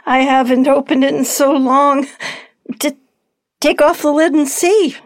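Slow, high-voiced elderly widow. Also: female voice, steady tone, a little hiss or hum. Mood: wistful. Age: older. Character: polite, wistful elderly widow at home. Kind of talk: intimate audio drama acting.

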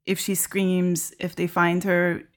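Female co-host, lower pitch, thoughtful, hesitant phrasing. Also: level tone, slow. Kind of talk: casual film discussion.

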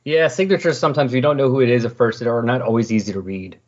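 A middle-aged man with a slightly husky voice hosting a casual comic book discussion in a chatty, explanatory way. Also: mid-range voice, quick pace. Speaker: podcast host. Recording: dull lo-fi voice recording.

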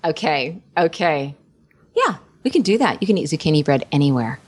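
A woman's voice: deep voice, big pitch swings, moderate pace, husky. A woman voice actress chatting playfully in a casual interview, laughing often. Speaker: voice actress, guest.

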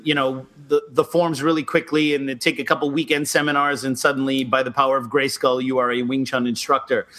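A man co-hosting a casual martial arts podcast, explaining his teaching approach in a measured way. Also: on the high side, fairly bright tone. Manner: explanatory, measured, mildly critical. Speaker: co-host, martial arts instructor.

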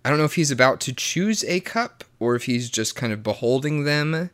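A man's voice: medium pitch, expressive, a little fast, fairly bright tone. A man in his late twenties talking in a casual chat, rambling and often restarting his words, with sarcastic asides. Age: 20s. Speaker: guest. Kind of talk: casual conversation.

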